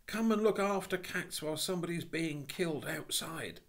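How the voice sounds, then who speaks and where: Man as Robert, a high-pitched spirited schoolboy. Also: animated, normal speed, fairly bright tone. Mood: urgent. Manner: emphatic, slangy schoolboy talk. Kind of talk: storybook reading.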